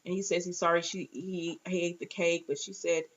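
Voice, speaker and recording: A woman's voice: on the low side, little pitch movement, fairly quick. Woman chatting casually, dull lo-fi sound. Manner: chatty, informal, reacting and retelling dialogue. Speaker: reviewer and host of a TV recap show. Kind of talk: casual recap chat with acted-out asides.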